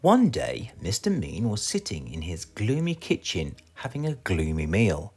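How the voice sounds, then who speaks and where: A man's voice: lowish voice, wildly swooping pitch, unhurried, a little mellow, breathy edge, soft background music. A man with a British accent reading a children's story aloud as a storyteller.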